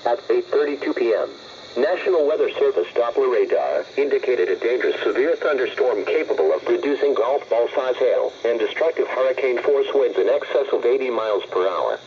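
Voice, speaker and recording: A synthetic text-to-speech man's voice reading a scripted emergency weather alert in a flat, formal manner over a radio broadcast. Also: medium pitch, a little fast. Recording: muffled weather radio, faint noise.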